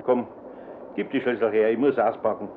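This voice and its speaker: A man's voice: fairly low pitch, steady tone, fairly quick, a little hiss or hum, close to an everyday voice. A male actor playing an older, fatherly master carpenter with a Bavarian accent in a radio play, plain-spoken and homely. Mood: good-natured. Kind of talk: German radio play acting. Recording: narrow, tinny old radio recording.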